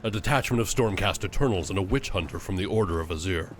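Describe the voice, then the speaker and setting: A man playing a proud, zealous witch hunter in a fantasy audio drama, measured, formal and persuasive. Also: low-pitched, faint music behind, lightly acted. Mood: probing, polite.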